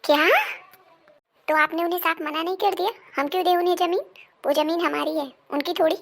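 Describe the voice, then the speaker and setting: A woman acts out a dramatic woman of a squabbling Indian household in an animated moral story. Her voice sits very high and has a gravelly creak. She speaks emphatically, as in a family melodrama. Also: a little fast. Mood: outraged. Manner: emphatic, dramatic, scolding and pleading delivery. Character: women of a quarrelling Indian family: daughter, mother, daughter-in-law.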